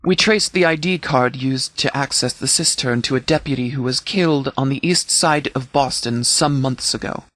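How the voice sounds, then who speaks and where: A man playing a stern sheriff in a video game, giving formal, measured briefings with authority. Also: mid-range voice, fairly bright tone, dry recording, close to an everyday voice. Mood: grave, resolute. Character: stern, formal sheriff commanding a post-apocalyptic settlement militia. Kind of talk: scripted video game dialogue, military briefing.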